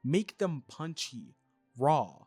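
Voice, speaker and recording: A man hosting and narrating a scripted review, speaking in an emphatic, expressive way. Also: a little high, slow pace, husky, no echo, soft background music. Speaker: reviewer and host.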